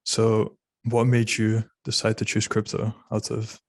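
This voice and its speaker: A man's voice: lowish voice, completely flat delivery, unhurried, dry recording. A man interviewing a guest with brief, level questions.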